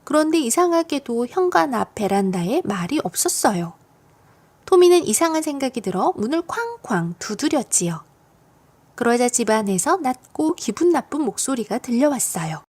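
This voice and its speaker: A woman narrates a children's story from a script. Her voice sits high and moves widely up and down. She tells it expressively, as if for young listeners. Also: sing-song, normal speed, a little mellow, breathy edge. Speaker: audiobook narrator of children's stories.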